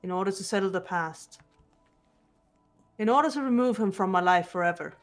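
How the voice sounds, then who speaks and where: Woman doing serious guarded teen detective girl from a game, composed and measured, medium pitch, music behind. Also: natural voice. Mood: restrained bitterness. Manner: measured, serious, deliberate delivery. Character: composed, guarded teenage girl detective, bitter toward her father. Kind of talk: amateur video game voice acting. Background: background music.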